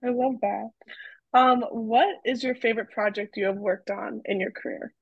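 A young woman co-hosting a student podcast, reading intros and asking quick, friendly questions. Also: on the high side, fairly even intonation, vocal fry, breathy edge, no room sound, narrow, tinny audio.

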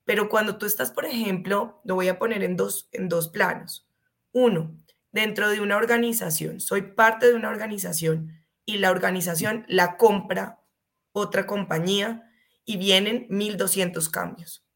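A woman's voice: mid-range voice, moderate pace, vocal fry. A woman with a Colombian accent explaining conversationally as an expert guest, emphatic and fond of rhetorical questions.

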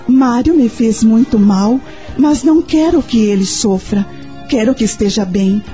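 A woman's voice: on the high side, expressive, measured pace, warm tone, soft background music. An actress with a Brazilian accent playing a devout, earnest mother searching for answers in a radio soap opera. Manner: earnest, questioning. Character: devout, troubled young mother seeking answers.

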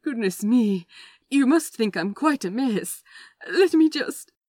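A woman voicing a gentle, mannerly man who holds back his grief, in an audio drama with polite, old-fashioned phrasing. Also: a little high, expressive, takes it slowly, no room sound, clean studio sound. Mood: flustered, tearful.

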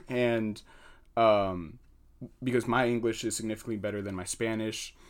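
Young American male student guest, lowish voice, earnest and halting. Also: steady tone. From United States. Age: young adult. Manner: hesitant, pauses often with fillers, earnest. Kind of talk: podcast interview answer.

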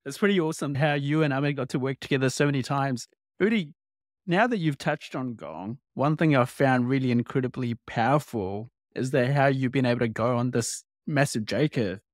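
A man's voice: medium pitch, a little fast, no room sound, studio quality. Upbeat male podcast host. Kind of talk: casual interview.

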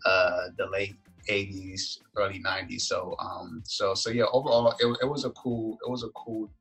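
A man with a low voice and plenty of creak speaks as a podcast guest. He answers questions haltingly, searching for his words. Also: flat delivery, fairly bright tone, faint music behind, rough recording. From Liberia, moved to the United States at five. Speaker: podcast guest, events entrepreneur. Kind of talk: interview answers.

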